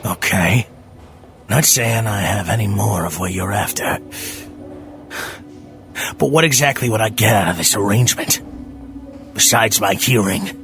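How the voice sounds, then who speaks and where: Guy playing low gravelly hired thug, blunt n suspicious, audio drama w music behind. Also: unhurried, pauses often, a bit bright. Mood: guarded. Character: gruff, wary hired criminal enforcer.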